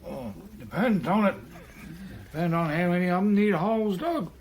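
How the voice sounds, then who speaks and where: An actor playing a sly, cagey old gravedigger in a radio drama. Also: male voice, high voice, expressive, normal speed, noisy background. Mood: wary. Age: older. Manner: cagey, drawling village speech.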